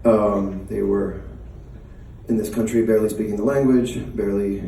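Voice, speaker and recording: A man's voice: on the low side, fairly even intonation, normal speed, vocal fry, mellow, a little hiss or hum. A middle-aged man from the United States chatting casually on stage between songs, laughing often and hesitant with many fillers. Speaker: singer and performer. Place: live venue on stage. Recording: roomy live sound from a distance.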